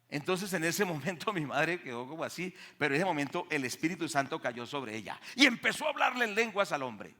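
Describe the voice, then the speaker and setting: A man's voice: fairly high pitch, bright, forceful tone. A man with a Colombian accent preaching a sermon emphatically, putting questions to his congregation. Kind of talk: sermon with Bible reading and testimonies. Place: speaking to a church congregation.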